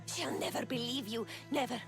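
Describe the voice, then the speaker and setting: An actress playing an older woman servant who speaks cryptically, telling old secrets, over loud game music. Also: a little high, moderate pace, lightly acted. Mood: grave. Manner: cryptic, storytelling.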